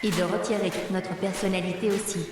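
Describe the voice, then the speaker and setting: A woman's voice: mid-range voice, steady tone, brisk, background noise, natural voice. A woman playing an uneasy, bitter young captive in an audio drama, introspective and dramatic. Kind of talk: audio drama inner monologue and dialogue. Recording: distant, very echoey, long reverb. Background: music.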